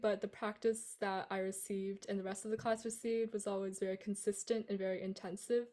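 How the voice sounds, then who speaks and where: A woman's voice: medium pitch, warm tone. A teenage girl student on a video call, speaking in a composed, articulate way. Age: teens. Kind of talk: panel testimonial.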